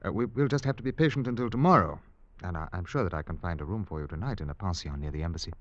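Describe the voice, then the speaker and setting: An actor playing a kind, steady man in an old radio drama, reassuring and a little hesitant. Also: low voice, rapid-fire, dry recording. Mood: gentle. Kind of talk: 1940s-50s radio drama acting. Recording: dull old radio recording.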